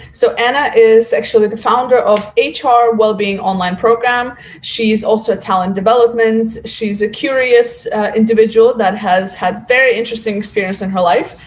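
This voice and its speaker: A woman's voice: on the high side, slight background noise. A woman hosting a podcast, chatty and enthusiastic, leaning on fillers like "kind of" and "like". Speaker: podcast host. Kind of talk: casual interview conversation.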